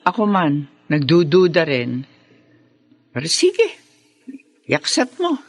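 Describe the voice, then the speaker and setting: Motherly middle-aged woman, wary, caring, Filipino accent, lowish swooping voice, radio drama. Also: huge pitch swings, slow, full character voice. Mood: wary, curious.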